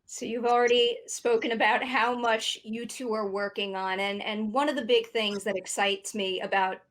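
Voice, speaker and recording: Woman, fairly high pitch, podcast host, enthusiastic, articulate, prepared interview delivery. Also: fairly even intonation, moderate pace, a bit bright.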